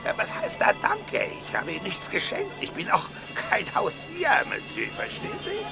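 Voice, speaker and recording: Mocking street flower seller, creaky and lively. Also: male voice, high voice, normal speed, heavily acted. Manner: wry, theatrical, sing-song street hawker's cries. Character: talkative, mocking male street violet seller. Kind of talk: 1960s German radio play acting. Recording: 1960s radio recording, music behind.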